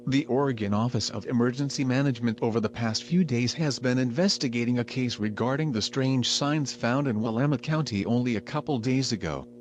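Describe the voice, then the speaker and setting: A man's voice: mid-range voice, natural voice. Man acting as a local news reporter, noisy audio. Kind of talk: scripted breaking news report. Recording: clearly noisy recording with hum.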